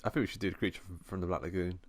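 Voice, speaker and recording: A man's voice: sits low, steady tone, rapid-fire, a little mellow. A man hosting a horror film podcast, chatting casually with a co-host with plenty of fillers and restarts.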